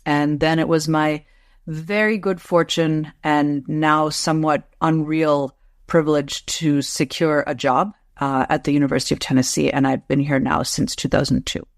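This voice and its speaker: A woman's voice: sits low, little pitch movement, dry recording, studio quality. A middle-aged woman, a literature professor, answering interview questions in a careful, explanatory way. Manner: measured, explanatory, careful restarts.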